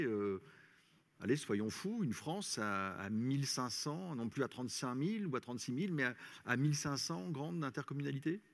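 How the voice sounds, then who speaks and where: A man moderating a live debate in a conversational, unscripted way. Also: medium pitch. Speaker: panel moderator. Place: large congress hall plenary session. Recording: reverberant large hall, amplified speech.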